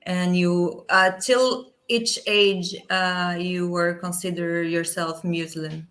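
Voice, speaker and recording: Slow, even-toned woman. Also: lowish voice. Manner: halting, non-native English phrasing. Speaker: interview co-host. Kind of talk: interview questions.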